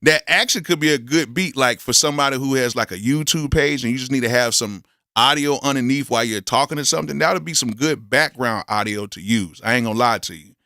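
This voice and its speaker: A hoarse man talks loosely through a live beat review, reacting with blunt verdicts and plenty of exclamations. His voice is bright and forceful despite the wear. The sound is close and dry, with no room around it. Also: mid-range voice, fast, no room sound. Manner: candid, blunt, full of exclamations. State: hoarse, losing his voice from yelling. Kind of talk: casual live-stream commentary.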